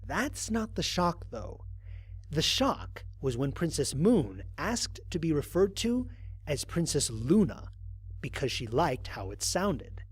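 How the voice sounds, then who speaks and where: A man's voice: a little high, big pitch swings, slow, slightly rough, no room sound, exaggerated voice. A man playing a human space explorer recording a mission log in an audio drama, speaking conversationally with wry, dry amusement. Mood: wry, reflective. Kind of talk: first-person audio drama narration as a log entry.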